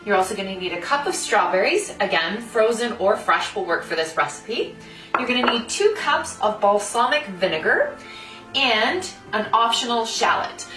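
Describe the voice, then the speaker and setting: A woman's voice: fairly high pitch, big pitch swings, normal speed. A woman hosting a cooking demonstration, explaining the recipe step by step in an instructional way. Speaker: food designer and cooking host. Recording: roomy echo, distant mic, music behind.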